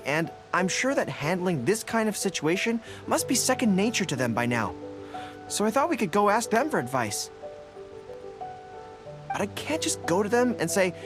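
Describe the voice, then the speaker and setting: An actor playing an earnest, bashful young army general in a video game dub, eager and halting. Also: male voice, sits high. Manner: eager, halting when embarrassed. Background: music.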